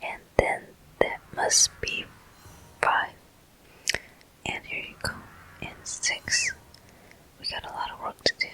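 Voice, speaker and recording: A gaming host giving gentle, soothing ASMR commentary in an unhurried, breathy, unvoiced whisper. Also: low-quality recording.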